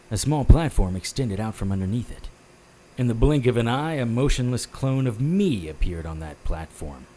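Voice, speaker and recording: An actor playing a tough, wisecracking private detective in an audio drama, with wry deadpan delivery and noir-style narration. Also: male voice, mid-range voice, slight background noise, lightly acted, rough recording.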